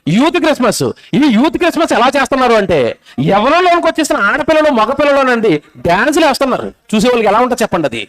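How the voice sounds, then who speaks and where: A male preacher delivers a fervent sermon in an extremely high-pitched voice. He talks quickly, pressing the gathering with scolding rhetorical questions and urgent exhortation. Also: big pitch swings, fairly bright tone, low-quality recording.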